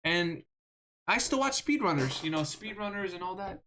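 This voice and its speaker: A game streamer with a monotone voice, rambling through live gameplay commentary, self-deprecating and often repeating words. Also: medium pitch, normal speed, slight background noise.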